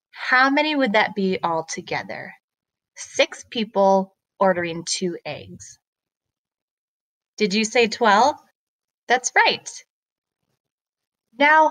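A woman, a math teacher, explaining step by step to children in a deliberate, questioning way. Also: medium pitch, fairly bright tone, rough recording.

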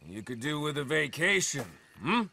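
An actor playing a scheming outlaw who mocks and provokes, with a drawling, taunting manner. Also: male voice, fairly high pitch, sing-song, normal speed, vocal fry, bright, forceful tone, a little hiss or hum, full character voice. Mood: smug. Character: sneering scheming outlaw man, mocking and provocative. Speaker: outlaw gang member.

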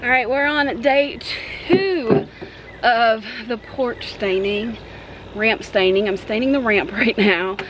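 A woman's voice: fairly high pitch, takes it slowly, highs cut off. A woman in her thirties chatting casually and informally to the camera as a home makeover vlogger, with a self-deprecating manner. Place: outdoors on a porch and wooden ramp. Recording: clear background noise, outdoor ambience.

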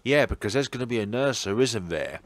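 A man's voice: mid-range voice, animated, normal speed. A man doing let's play commentary on a visual novel, with dry, sarcastic asides. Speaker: let's player reading a visual novel aloud. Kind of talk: gameplay commentary and dramatic read-aloud.

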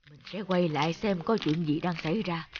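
A woman playing a kind, lonely old village woman in a cartoon folk tale, speaking gently. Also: fairly low pitch, a little fast, highs cut off, a little hiss or hum, natural voice. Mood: puzzled.

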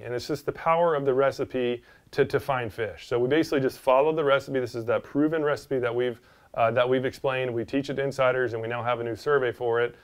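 A man in his forties talking casually and enthusiastically to the camera as a fishing instructor, recorded indoors on a lapel mic. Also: mid-range voice. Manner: enthusiastic, informal. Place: small indoor studio room. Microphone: clip-on lapel mic.

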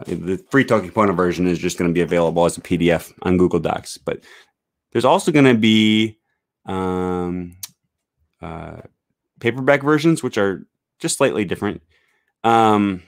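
A man's voice: on the low side, clear, resonant tone, dry recording, studio quality. A young man giving a loose, rambling live-stream talk on language learning, full of "um" and "uh", into a desk microphone in a small home room. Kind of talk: casual live-stream talk with screen sharing. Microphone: large studio microphone on a desk stand.

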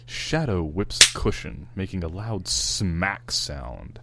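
A man's voice: low-pitched, lively intonation, takes it slowly, creaky, very noisy. A man narrating fan fiction, reading out the stage directions.

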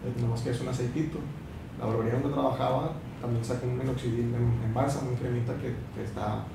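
Gravelly young Sinaloan man, echoey room. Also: medium pitch, steady tone, fast. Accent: Sinaloa Mexican. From Mexico. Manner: explains at length with many fillers. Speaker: barber guest. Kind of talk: casual podcast chat. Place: small room with a mirror, indoors. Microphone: far camera. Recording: echoey room, mic far off, background hiss.

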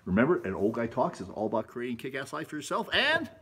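A man's voice: mid-range voice, wildly swooping pitch, quick pace, a crowd in the background. An older man hosting a casual vlog, rambling in a folksy, self-amused way while filming himself on a phone outdoors in a shaded rocky canyon. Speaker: vlog host. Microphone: phone camera at arm's length.

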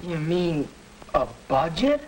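An actor playing a brash, grumbling American teenage son in a 1940s educational film, blunt and clipped. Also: male voice, a little high, sing-song, slow. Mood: skeptical. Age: young adult. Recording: noisy, crackly 1940s film soundtrack.

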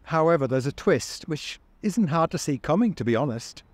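A man's voice: a little high, animated, normal speed. A man in his fifties presenting a scripted video outdoors, with a dry, wry manner. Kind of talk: scripted narration to camera, wry. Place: outdoors on seaside town streets.

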